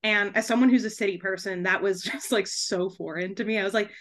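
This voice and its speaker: A woman chatting casually as an interview guest, an author who tells stories in an animated, giggly way with lots of "like". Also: mid-range voice, a little fast.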